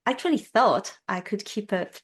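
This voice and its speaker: A woman in her thirties from France with a French accent, a guest telling her own health story in an earnest, measured way. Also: medium pitch, lively intonation, breathy edge. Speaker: guest, women's health specialist. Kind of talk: interview, personal storytelling.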